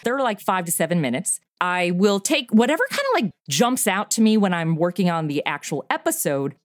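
A woman's voice: fairly low pitch, big pitch swings, no room sound, clean studio sound. A woman talking eagerly and chattily about her comedy podcast as an interview guest. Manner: eager, chatty, quick to laugh. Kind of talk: casual interview chat.

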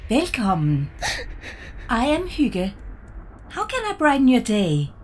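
A woman playing a calm, parental ship's artificial intelligence in an audio drama, speaking in polite, formal service phrases. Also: fairly high pitch, slow pace, slight background noise, exaggerated voice. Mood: calm, welcoming.

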